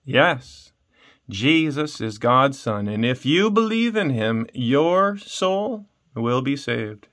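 Storybook captive priest, male, played by a man reader: devout, unbowed, earnest, measured. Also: medium pitch, sing-song, a bit bright. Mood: joyful conviction.

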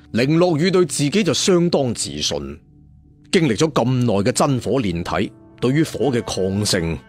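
Husky, animated Cantonese male storyteller. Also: medium pitch. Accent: Hong Kong Cantonese. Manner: chatty, addresses listeners with rhetorical asides. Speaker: audiobook storyteller. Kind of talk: colloquial dramatic story narration. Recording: music bed behind the voice.

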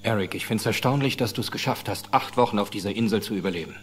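A male actor playing a calm, kindly middle-aged paleontologist in a film dub, speaking in a measured, quietly dry way. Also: lowish voice, a little hiss or hum, lightly acted. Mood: dry, appreciative. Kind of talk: German film dubbing dialogue.

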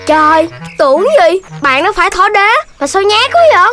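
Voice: extremely high pitch, normal speed, bright, forceful tone. A voice actor playing a mischievous, whiny little puppy boy in a children's cartoon, with a southern Vietnamese accent. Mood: cocky, dismissive. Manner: childish, whining. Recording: music behind the voice.

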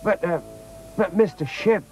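A man's voice: high-pitched, very animated, normal speed, soft background music, voice pushed up, rough recording. A man voicing eager, curious young children in a storybook tale, polite and inquisitive. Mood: curious, puzzled. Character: eager, curious little children, boy and girl.

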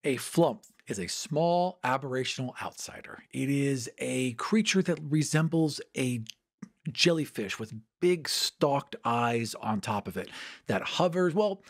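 A man's voice: medium pitch, expressive, unhurried. A man chatting casually as a podcast guest, with playful, theatrical emphasis.

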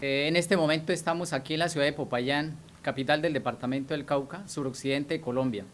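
A man introducing his group's delegation in steady, listing speech. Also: a little high, brisk, a little hiss or hum. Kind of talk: interview introduction.

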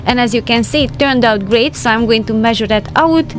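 Woman, calm homemaking voice-over, slightly high voice, loud music bed underneath. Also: fairly quick. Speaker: homemaking vlogger. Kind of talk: relaxed voice-over narration.

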